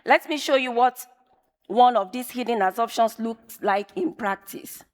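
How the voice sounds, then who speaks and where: Woman lecturing in a big echoing hall. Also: fairly high pitch. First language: Yoruba. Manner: measured, emphatic, repeats phrases for effect. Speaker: conference speaker. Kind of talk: technical conference talk. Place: large conference hall. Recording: long reverb of a large hall.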